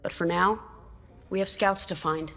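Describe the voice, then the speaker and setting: A woman's voice: lowish voice, fairly even intonation, measured pace, a bit bright, a little hiss or hum. A woman playing an earnest, upbeat young fighter pilot in a video game, friendly and encouraging, in a reverberant space. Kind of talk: video game character dialogue. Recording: long reverb, faint music.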